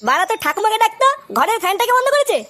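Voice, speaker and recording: A woman's voice: very high-pitched, animated, rapid-fire, bright, forceful tone, heavily acted. A woman voicing a whiny, indignant young grandson in a comedy cartoon. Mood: whining. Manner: whining, indignant, cursing.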